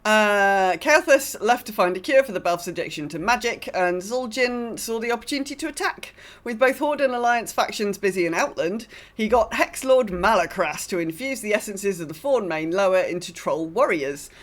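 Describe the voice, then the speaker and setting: A woman's voice: mid-range voice, expressive, normal speed. A woman co-hosting a podcast, reading a game lore recap in a casual way with dry, sardonic asides. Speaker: podcast co-host.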